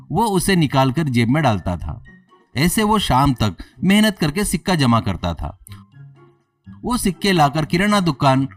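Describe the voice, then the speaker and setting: A man's voice: fairly high pitch, distant mic. A man narrating a scripted moral story as a storyteller.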